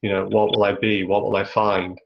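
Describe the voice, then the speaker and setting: Male spiritual teacher, low gravelly voice, halting reflective delivery, dull web-call audio. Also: little pitch movement, a little hiss or hum. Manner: searching, halting, many "like"s. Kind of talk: reflective satsang talk. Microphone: video call.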